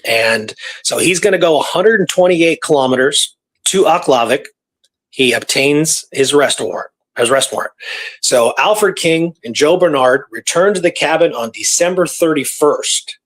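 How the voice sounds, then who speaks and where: A man co-hosting a radio show, telling a historical story informally, with halting delivery and phrases repeated for emphasis. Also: on the high side, expressive, a bit bright, rough recording.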